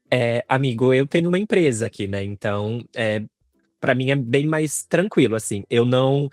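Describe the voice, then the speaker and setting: A man's voice: mid-range voice, lively intonation, normal speed, darkish tone, no room sound, clean studio sound, soft background music. A man with a Brazilian accent in casual, personal podcast chat, speaking informally as one of the co-hosts. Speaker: podcast co-host.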